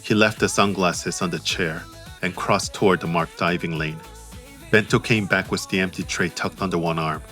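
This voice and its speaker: A man narrating his own audiobook in a measured, understated way. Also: low voice, steady tone, sharp tone, soft background music. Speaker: author and narrator.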